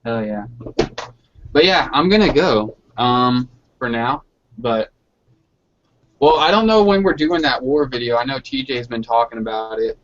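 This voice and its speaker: A man's voice: mid-range voice, animated, a little slow, long pauses, a bit bright. Guy just chatting, swears a lot, slangy, dull lo-fi hangout audio. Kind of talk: casual chat. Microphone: online video hangout. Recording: dull lo-fi online call.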